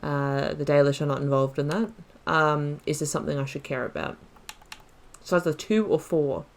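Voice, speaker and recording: A woman's voice: low voice, normal speed, vocal fry. A woman giving casual let's play commentary, chattily thinking aloud and reading dialogue choices. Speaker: gaming video host.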